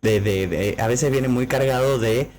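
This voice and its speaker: A man's voice: mid-range voice, measured pace, darkish tone, slight background noise. A man co-hosting a casual podcast, explaining at length with many fillers. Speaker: podcast co-host.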